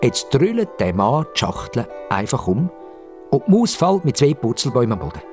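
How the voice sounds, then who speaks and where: A man with a Swiss German accent narrating a children's story dramatically, with suspenseful pauses and repetitions. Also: mid-range voice, faint music behind. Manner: expressive, suspenseful, with repetitions for effect.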